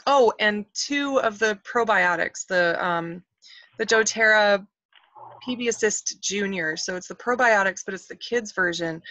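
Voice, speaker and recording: Woman, 40s, enthusiastic chat, bright voice, close dry webcam sound. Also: medium pitch, no room sound. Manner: chatty and enthusiastic, many ums. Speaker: host. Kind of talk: casual chat. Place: home kitchen at night. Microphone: webcam on a video call. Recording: muffled webcam audio.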